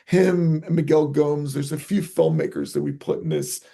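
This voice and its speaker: Gravelly, husky man, enthusiastic. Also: a little high, wildly swooping pitch, moderate pace, mellow. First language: Italian. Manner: enthusiastic, rambling with restarts. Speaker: guest filmmaker. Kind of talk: casual interview talk.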